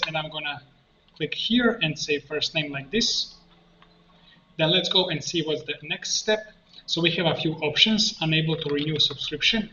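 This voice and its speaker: A man giving an unscripted screen-share software tutorial, explaining step by step in a halting way. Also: on the high side. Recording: muffled roomy mic with faint noise.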